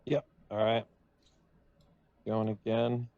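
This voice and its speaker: A man speaks slowly and casually as a player in a tabletop game. His voice has a lot of creak, and the audio is dull and lo-fi. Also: fairly low pitch, level tone.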